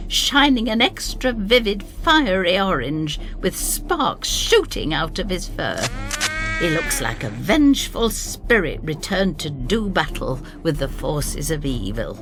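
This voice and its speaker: A woman reading a dramatic first-person story in an expressive, theatrical way. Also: sits low. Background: music.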